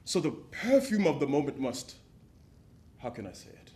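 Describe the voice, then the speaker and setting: A man's voice: medium pitch, sing-song, normal speed, a little mellow, close to an everyday voice. A man playing an ardent stage actor. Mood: coaxing. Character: passionate male stage actor rehearsing a romantic lead. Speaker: actor in a dramatized rehearsal. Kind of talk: period drama acting, reciting verse.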